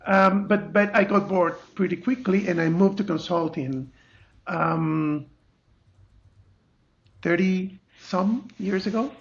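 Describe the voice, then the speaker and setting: A man's voice: sits high, takes it slowly, pauses often, lo-fi sound. A middle-aged man from Venezuela with a Venezuelan Spanish accent, chatting casually as an interview guest. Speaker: podcast guest, compensation and benefits consultant.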